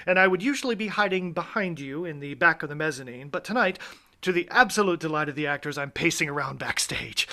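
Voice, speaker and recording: A man's voice: a little high, sharp tone. A man hosting a live audio play, narrating backstage in a playful, effusive, mock-suspenseful theatrical manner. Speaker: host, director and adapter of a live audio play.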